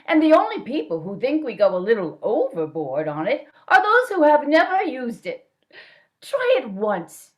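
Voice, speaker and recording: A woman playing a folksy old-time radio commercial spokeswoman, giving a friendly sales pitch.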